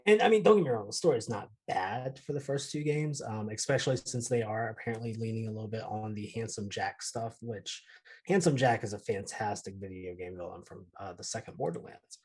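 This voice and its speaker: A man's voice: fairly low pitch, animated, fairly quick, darkish tone, breathy edge. A man chatting casually as a podcast panelist, emphatic and prone to swearing. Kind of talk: casual chat about films and games.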